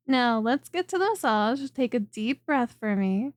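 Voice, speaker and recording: A woman playing a friendly, clingy female lamia chiropractor in an ASMR roleplay, speaking in a soft, coaxing and teasing way directly to the listener. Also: sits high, no echo, clean studio sound. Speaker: voice performer.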